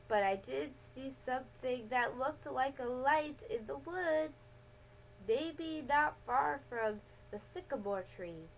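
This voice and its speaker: Woman, high voice, unhurried pace. Amateur children's adventure narration with stumbles and self-corrections. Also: slight background noise, rough recording. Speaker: amateur story reader. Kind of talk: dramatic reading of a children's adventure story.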